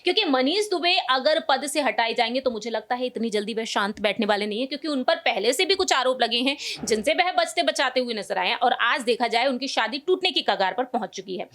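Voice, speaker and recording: High-pitched female news commentator. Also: lively intonation, brisk, few pauses, fairly bright tone.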